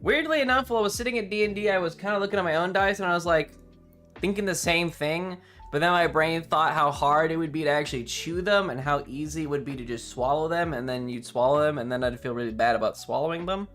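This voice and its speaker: A man's voice: on the high side, quick pace, a bit bright, faint music behind. A man chatting casually on a livestream to his viewers, rambling and playfully dramatic. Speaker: live streamer.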